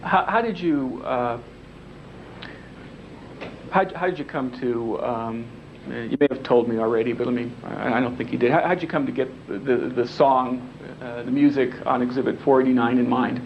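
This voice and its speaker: Halting lawyer questioning a witness. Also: male voice, mid-range voice, a little hiss or hum. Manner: halting, repeats words while he forms questions. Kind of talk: deposition questioning. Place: deposition room, indoors. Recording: dull lo-fi videotape recording.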